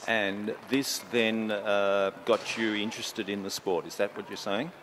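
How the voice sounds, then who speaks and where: A man's voice: on the low side, fairly even intonation, a little slow. A man interviews a young player, asking questions with hesitant fillers between phrases. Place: outdoors near a gym with traffic. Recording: outdoor traffic noise behind.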